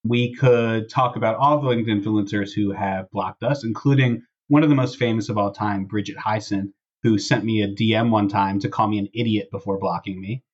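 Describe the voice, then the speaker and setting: A man's voice: fairly low pitch, fairly even intonation, a little fast, few pauses, slightly rough. A man hosting a casual podcast in a wry, informal way, recorded through a wireless earbud microphone. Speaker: podcast host. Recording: dull, lo-fi wireless earbud audio.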